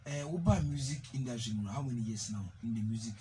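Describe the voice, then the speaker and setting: A man's voice: mid-range voice, quick pace, slight background noise. A Ghanaian man hosting a radio show, hyping his guest and singing out station lines. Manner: hypes and sing-songs, lots of mm-hmm. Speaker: radio DJ host. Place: radio studio. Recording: radio broadcast with loud music bed.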